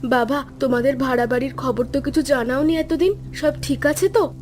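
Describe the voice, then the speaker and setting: A worried young married daughter in a cartoon story, voiced by a woman, earnest and pleading. Her voice is high and bright-toned. Light music runs underneath. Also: fairly even intonation, moderate pace, lightly acted. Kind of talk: cartoon voice acting.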